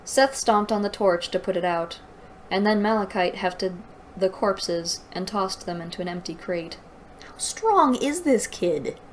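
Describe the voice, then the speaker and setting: A woman's voice: medium pitch, animated, measured pace, slight background noise. A woman reading aloud and giving mocking, incredulous commentary as the host of a book review. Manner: exasperated, incredulous.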